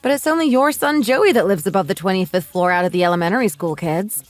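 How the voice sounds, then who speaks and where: A woman's voice: medium pitch, expressive, fast, soft background music, natural voice. A woman playing a sensible, put-upon mother in her late thirties in a radio drama, polite but firm. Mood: reasonable. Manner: polite, reasonable, mildly exasperated.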